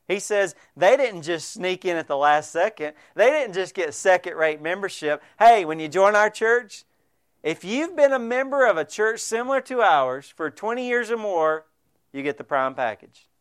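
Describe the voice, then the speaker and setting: Clear-voiced male pastor preaching. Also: high voice, animated, normal speed. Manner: earnest, direct, many rhetorical questions to listeners. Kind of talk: sermon to a congregation.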